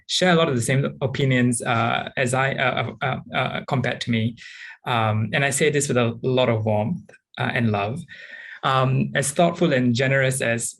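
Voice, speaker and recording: A man, frankly and thoughtfully sharing personal experiences as a panelist, often pausing with ums. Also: mid-range voice, fairly bright tone. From Singapore. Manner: reflective and frank, with frequent ums. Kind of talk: candid personal account on a panel.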